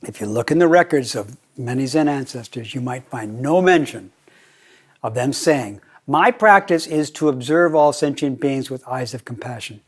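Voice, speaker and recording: An older American man giving a Zen dharma talk, playful and deliberate, often slowing down and repeating his phrases. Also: mid-range voice, breathy edge. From United States. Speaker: Zen teacher.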